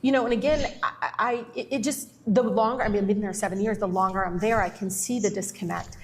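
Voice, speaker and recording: A woman's voice: medium pitch, fairly even intonation, fast, a little hiss or hum. A woman legislator in an impassioned political discussion, often restarting her sentences. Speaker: state legislator guest.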